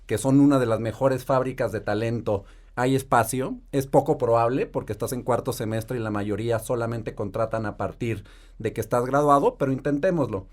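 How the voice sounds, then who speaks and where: A Mexican man, probably in his thirties, a private equity investor telling his career story in a measured, articulate way. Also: medium pitch, darkish tone. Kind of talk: podcast interview storytelling.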